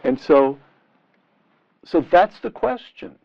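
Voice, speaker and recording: A middle-aged man interviewing in a deliberate, rhetorical and emphatic way, on a lo-fi vintage radio style recording. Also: fairly high pitch, very animated, gravelly. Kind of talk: long-form interview questioning. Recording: dull, lo-fi vintage radio sound.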